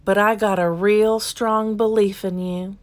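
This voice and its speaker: A woman's voice: medium pitch, flat delivery, unhurried, close to an everyday voice. A woman voicing a kind, hopeful young male cowboy in a children's storybook, with a folksy, earnest delivery. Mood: gentle, resolute. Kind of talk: children's storybook character reading.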